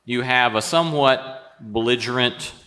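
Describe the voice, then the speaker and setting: A man's voice: mid-range voice, a little slow, bright, forceful tone, soft background music. A man hosting a live interview on stage, putting questions to his guest in a hall. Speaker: host, interviewer. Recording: reverberant hall.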